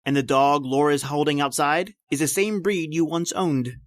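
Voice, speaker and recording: A man's voice: a little high, steady tone, moderate pace, dry recording, studio quality, lightly acted. Man acting ominous, accusing avenger. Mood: smugly triumphant. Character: accusing man posing as his dead invalid brother. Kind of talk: old-time radio mystery acting.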